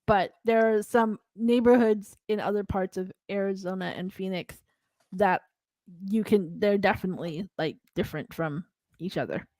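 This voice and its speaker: A young woman from the Philippines talks in an easygoing, rambling way, leaning on fillers and trailing off mid-thought. Her voice sits at an ordinary pitch, recorded close and dry with no room sound. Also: normal speed, darkish tone, no echo. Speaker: podcast co-host. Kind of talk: casual chat.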